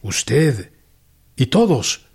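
Slow-spoken earnest general. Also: male voice, medium pitch, very animated, creaky, a crowd in the background, heavily acted. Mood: cautioning, earnest. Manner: earnest, measured, respectful. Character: earnest, principled general advising his commander. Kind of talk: radio drama acting.

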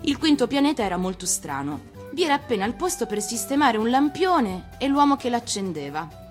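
Woman storyteller: scripted fairy-tale narration, animated intonation, mid-pitched actor's voice, background music. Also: brisk.

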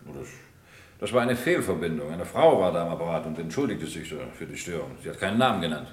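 Deep voiced lawyer witness in old radio play, careful, formal, obliging. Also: male voice, sing-song, quick pace, reverberant. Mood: cautious, formal. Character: measured, obliging male solicitor as trial witness. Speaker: actor in crime radio play. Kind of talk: classic German crime radio drama acting.

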